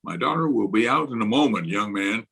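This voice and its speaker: An actor playing a pompous, irritable middle-aged high school principal with grandiloquent, blustering delivery in a radio comedy. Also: male voice, animated, fairly quick, a bit bright, breathy edge, exaggerated voice. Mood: gruff, impatient. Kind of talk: old-time radio comedy acting. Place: remote recording over video call.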